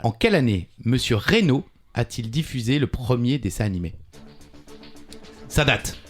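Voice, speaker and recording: A man's voice: mid-range voice, animated, moderate pace, faint music behind. A man co-hosting a quiz podcast, chatting casually and reading out trivia with a playful, teasing touch.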